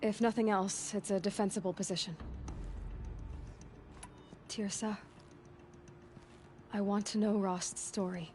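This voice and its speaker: A woman's voice: medium pitch, steady tone, unhurried, long pauses, a little mellow, a little hiss or hum, lightly acted. An actress playing a determined young woman hunter in video game dialogue, earnest and direct.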